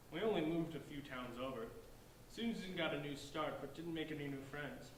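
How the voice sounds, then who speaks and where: A man's voice: a little high, lightly acted. A man playing a polite, bookish fifteen-year-old outsider with an earnest, wordy manner in a staged play reading. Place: large echoey indoor room, distant microphone. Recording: echoey room from far off, faint noise.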